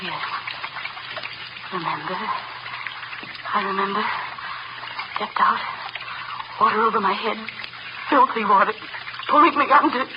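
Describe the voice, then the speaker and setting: A woman's voice: mid-range voice, measured pace, pauses often, lightly acted. An actress playing a guilt-ridden, panicked woman killer in breathless, clipped fragments, in 1930s radio horror style. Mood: fearful, muttering. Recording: muffled, noisy 1930s radio recording.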